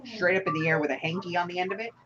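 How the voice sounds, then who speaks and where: A woman's voice: lowish voice, racing, fairly bright tone. A woman from New Jersey telling stories in a casual chat, wry and joking. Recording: dull lo-fi sound with faint noise.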